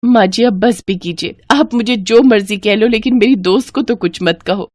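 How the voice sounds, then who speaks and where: A woman's voice: mid-range voice, moderate pace, a little mellow, no room sound, clean studio sound, lightly acted. A woman voicing a meek, long-suffering young daughter-in-law in a storybook tale, politely pleading. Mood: hurt protest.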